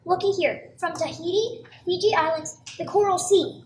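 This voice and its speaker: A woman's voice: extremely high pitch, normal speed, darkish tone. A woman playing a plucky, earnest twelve-year-old boy in a radio play. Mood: cocky, cheerful. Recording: echoey, distant mic, faint noise.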